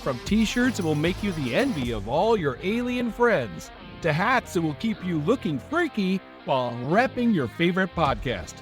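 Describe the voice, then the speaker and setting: A man's voice: high voice, sing-song, normal speed. A man reading an upbeat scripted merchandise ad as a promo announcer. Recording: guitar music under the voice.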